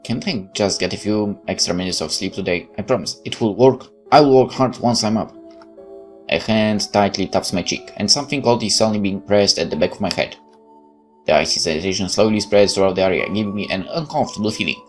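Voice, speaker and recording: A man's voice: lowish voice, brisk, soft background music. A man hosting a let's play, reading a visual novel aloud and adding casual off-the-cuff commentary. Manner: off-the-cuff remarks between read passages.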